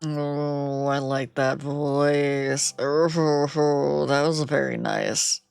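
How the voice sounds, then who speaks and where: Low-voiced woman streamer reading a game. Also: fairly even intonation, very slow, vocal fry, darkish tone, clean tone, soft background music. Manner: playful, giggly commentary. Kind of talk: reading a game aloud with reactions.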